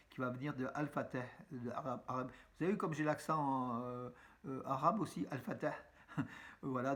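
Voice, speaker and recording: A man giving a casual spoken news roundup to his viewers, chatty and halting, with many fillers and restarts, addressing them as friends. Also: a little high, a bit bright. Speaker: news vlogger. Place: room with a map on the wall behind him.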